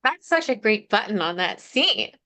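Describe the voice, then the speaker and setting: Female podcast host: bright tone, mid pitch, casual conversational delivery. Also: sing-song, normal speed.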